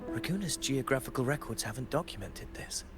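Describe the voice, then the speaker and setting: A man playing an earnest, devoted knight in a video game, speaking with formal, measured diction. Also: medium pitch, lively intonation, natural voice. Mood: alert. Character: earnest loyal male knight, solemn and devoted. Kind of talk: scripted video game voice acting. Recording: game music behind the voice.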